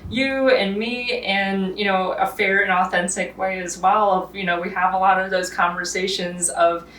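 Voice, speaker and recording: A woman's voice: medium pitch, steady tone, normal speed, fairly bright tone, rough recording. A young woman hosting a vlog, talking casually about her filmmaking process, with many "you know" and "uh" fillers.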